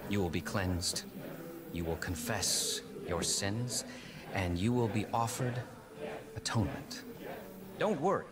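An actor playing a smooth, menacing cult preacher in his thirties, speaking slowly and persuasively in a video game. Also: on the low side, expressive, crowd noise. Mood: sinister, persuasive. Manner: slow, deliberate, persuasive. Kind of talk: video game dramatic monologue, televangelist-like.

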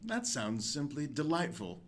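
An actor playing a sly old gravedigger in an audio horror drama, speaking with slow, sinister politeness. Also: male voice, on the high side, big pitch swings. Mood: falsely genial. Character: menacing old gravedigger, male, sly, falsely genial.

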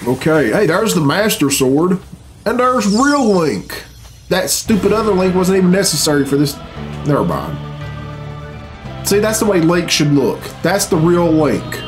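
Male reaction host, middle-aged, slightly high voice, casual and sweary, bemused off-the-cuff delivery over clear background music. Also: very animated, a little slow. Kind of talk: casual, unscripted reaction commentary. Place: small room at home, indoors. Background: game cutscene music.

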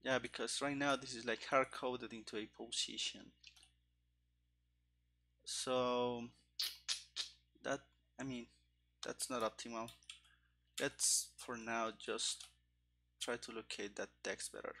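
Male, thirties, casual coding streamer thinking aloud. Bright, crackly voice with plenty of fry, halting delivery. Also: mid-range voice, steady tone. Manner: halting, musing to himself. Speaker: streamer, game developer. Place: indoor room at a computer. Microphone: headset. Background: keyboard typing and clicking.

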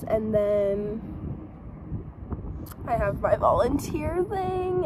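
A woman's voice: a little high, noisy background. A teenage girl vlogging to her phone, chatting upbeat and rambling with lots of fillers. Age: teens. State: losing her voice. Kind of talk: casual vlog chat. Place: bedroom at home, sometimes outdoors or in a car. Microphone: phone camera held close.

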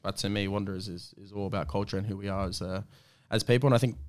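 A man, a podcast guest talking casually in quick run-on sentences with many restarts. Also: fairly low pitch, steady tone. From Australia.

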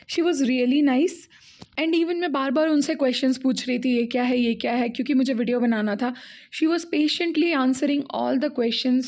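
Young indian lady doing a beauty vlog, high soft-toned voice, chatty, mixes in english. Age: young adult. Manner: switches between Hindi and English. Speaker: beauty vlogger. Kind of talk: casual vlog narration.

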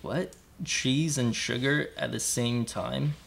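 A man's voice: medium pitch, takes it slowly, creaky, fairly bright tone, a little hiss or hum, exaggerated voice. A young man acting a puzzled, mischievous friend in a student live radio play. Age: young adult.

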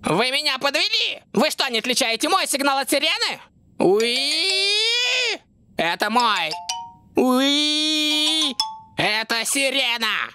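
Blustering cartoon villain boss, slow and swooping. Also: female voice, sits high, big pitch swings, exaggerated voice. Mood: scolding. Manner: theatrical, scolding. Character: bumbling plump villain boss, blustering and irritable. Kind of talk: cartoon dub acting. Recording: loud music behind the voice.

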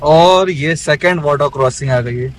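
A man narrating a casual travel vlog outdoors and from a jeep, chatty and excited, joking with his companions. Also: on the high side, unhurried, a little hiss or hum. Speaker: travel vlogger. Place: outdoors and in a jeep on a bumpy road.

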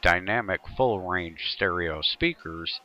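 A man's voice: low voice, slow, lo-fi sound. A man presenting a tutorial in scripted, instructional narration.

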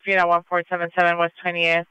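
A woman's voice: lowish voice, monotone, fast, sharp tone, smooth, no echo, lo-fi sound. A woman working as a police radio dispatcher, speaking in clipped, procedural phrases over a scanner. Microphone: radio dispatch channel.

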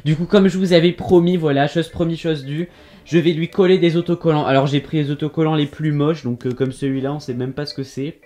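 A man's voice: on the high side, fairly even intonation, fairly quick, warm tone. A young man vlogging at home as a big brother, teasing and laughing often in a playful way, recorded on the camera mic. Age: young adult. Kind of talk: playful family vlog. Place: indoors, bedroom and kitchen of a home.

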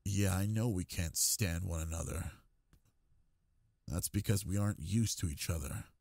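A young man playing a brooding, possessive delinquent goth bully in an intimate ASMR roleplay, with a low, husky voice and a domineering murmured drawl. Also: moderate pace, darkish tone, no room sound, studio quality. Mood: exasperated, insistent.

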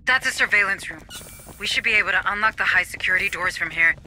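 An actor playing an earnest, level-headed administrator in a video game, businesslike and inquisitive. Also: male voice, high-pitched, fast, bright, forceful tone, a little hiss or hum, low-quality recording. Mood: urgent, businesslike. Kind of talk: cinematic game acting.

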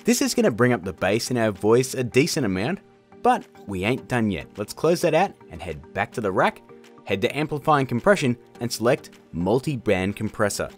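A man hosting a casual software tutorial in a chatty, jokey way. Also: medium pitch, big pitch swings, moderate pace, soft background music. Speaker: tutorial host. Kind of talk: casual screen-recorded tutorial narration.

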